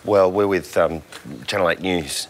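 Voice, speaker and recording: A man's voice: sits low, steady tone, measured pace, a little hiss or hum. A man playing a nervous, apologetic TV news reporter in a comedy film, hesitant, stammering and deferential. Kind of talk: naturalistic short-film comedy acting.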